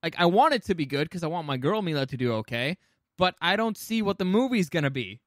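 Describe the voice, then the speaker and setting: A man with a fairly high voice, recorded close and dry. He talks as a podcast co-host in loose, casual conversation, opinionated and quick to swear. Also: very animated, fairly bright tone, no echo. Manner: opinionated, profane. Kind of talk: casual chat about film and game adaptations.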